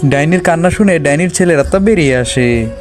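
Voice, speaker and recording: Man, high voice, scripted children's story narrator, faint music behind. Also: lively intonation, brisk.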